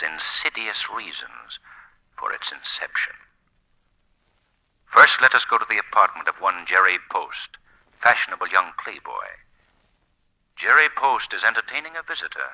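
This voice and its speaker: A man's voice: low voice, big pitch swings, sharp tone, heavily acted, rough recording. A man acting a sinister, all-knowing narrator in a 1940s radio thriller, theatrical and ominous, drawing out his words. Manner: ominous, theatrical, drawn-out delivery. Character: sinister, omniscient male narrator relishing crime and doom. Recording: old 1940s radio broadcast.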